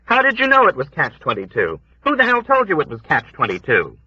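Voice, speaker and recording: A man voicing an angry, bewildered young soldier who demands answers in a sharp, raised voice, on a dull cassette audiobook. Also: fairly high pitch, huge pitch swings, brisk, breathy edge, no echo, pitched above the performer's own voice, full character voice. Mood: furious.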